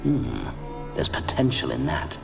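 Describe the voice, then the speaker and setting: A man's voice: a bass voice, wildly swooping pitch, unhurried, vocal fry, full character voice. A man voicing a boastful, lecherous nobleman's ghost in a fantasy video game, theatrical and suggestive, with a ghostly reverb. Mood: smug, lecherous. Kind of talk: video game cutscene dialogue. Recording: long ghostly reverb over music.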